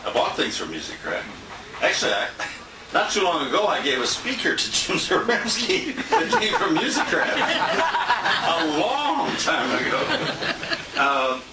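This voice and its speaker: Animated older American man, very noisy room. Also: a little high, measured pace, distant mic. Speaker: radio announcer giving a tribute. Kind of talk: informal, joking off-the-cuff speech. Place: restaurant banquet room. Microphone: distant handheld compact camera. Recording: very noisy, echoey handheld camera from afar.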